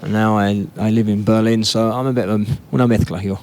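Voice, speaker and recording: A man's voice: lowish voice, steady tone, quick pace, darkish tone. A man from England, a musician answering interview questions in a laid-back, reflective way. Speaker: musician guest.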